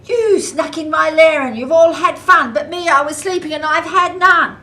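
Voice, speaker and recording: Woman playing a loud, high, grumpy bear. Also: normal speed. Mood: aggrieved, grumpy. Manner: loud, plaintive complaining. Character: big grumpy bear, loud, self-pitying and blubbery. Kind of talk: storybook read-aloud. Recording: distant mic with faint noise.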